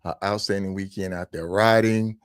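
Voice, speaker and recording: A man's voice: sits low, steady tone, normal speed, clean tone, dry recording. A man co-hosting a live podcast, upbeat and chatty, greeting viewers over and over. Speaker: podcast co-host.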